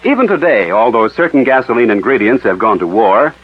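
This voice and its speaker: A man's voice: mid-range voice, big pitch swings, a little fast. A man announcing and selling for a sponsor on 1940s radio, polished and emphatic. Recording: muffled, rough 1940s radio recording.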